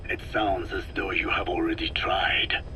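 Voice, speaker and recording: A very old male scientist with a calm, grave temper, whispering in slow, formal sentences. His voice sits low and never hurries. The cassette-tape recording carries a clear hiss. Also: exaggerated voice. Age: older. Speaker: scientist giving instructions. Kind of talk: video game cassette tape dialogue.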